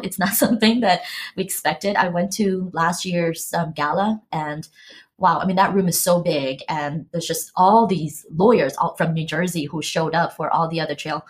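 A woman law school dean from the Philippines answering interview questions humbly, laughing easily, with fillers and restarts. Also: low voice.

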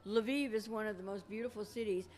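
A woman's voice: medium pitch, fairly quick, a little mellow. A woman whose first language is Ukrainian, chatting casually and conversationally. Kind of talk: casual chat.

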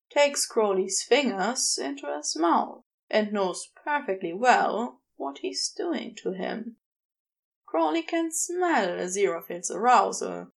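A woman narrating a scripted story as an audiobook reader, in a measured delivery. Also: mid-range voice, gravelly. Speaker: audiobook reader of fan fiction.

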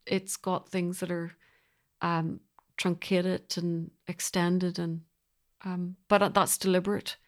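A woman with a Northern Irish accent talking reflectively in an interview, thoughtfully searching for words in short phrases. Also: mid-range voice. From Northern Ireland. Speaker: composer being interviewed.

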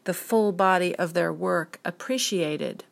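A woman's voice: lowish voice, a little slow, vocal fry. A woman of fifty musing on her own in a dreamy, rambling, spiritual way, reading her creative writing aloud and then talking freely. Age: 50s. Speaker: podcast host. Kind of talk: reflective solo monologue.

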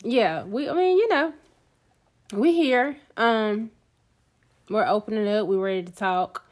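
A woman's voice: medium pitch, expressive, unhurried, darkish tone. A woman co-hosting a casual talk show, speaking in an encouraging, pep-talk way. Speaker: podcast co-host. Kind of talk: casual chat.

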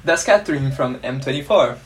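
A man's voice: medium pitch, animated, fairly quick, poor audio. A man hosting a podcast interview, friendly and playful, with some hesitant restarts. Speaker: podcast host.

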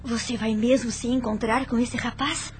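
Radio soap role, acted by a woman: anxious, protective woman with a high-pitched voice. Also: steady tone, moderate pace, slight background noise, natural voice. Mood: worried. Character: anxious, caring woman, companion of a lawyer. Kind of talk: radio soap opera acting.

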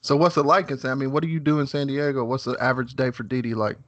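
A man in his mid-thirties hosts a podcast in a nervous, halting way, padding his talk with casual fillers. His voice sits at an ordinary pitch, and the recording is dry and close. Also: steady tone, fairly bright tone, no room sound, muffled recording. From West Virginia, USA. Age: 30s. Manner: nervous, halting, lots of "man" and "you know what I'm saying". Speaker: podcast host. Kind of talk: casual interview.

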